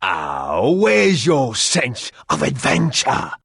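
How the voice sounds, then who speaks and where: A man's voice: medium pitch, wildly swooping pitch, extremely slow, gravelly, a bit bright, breathy edge, dry recording, full character voice. A man voicing a theatrical, cowardly comic sidekick adventurer in a video game, hammy and dramatic. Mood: jaunty. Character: jolly, theatrical, cowardly male adventurer playing ship's captain.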